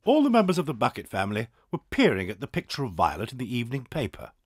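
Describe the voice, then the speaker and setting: An actor playing the storyteller, giving expressive scripted narration of a children's tale. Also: male voice, mid-range voice, quick pace, breathy edge, no echo, clean studio sound, faint music behind. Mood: expressive storytelling.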